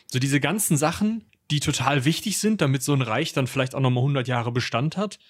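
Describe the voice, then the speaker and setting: A man's voice: medium pitch, fairly quick, no echo. A man discussing history as a podcast co-host in a casual, colloquial way, with slangy turns and joking asides.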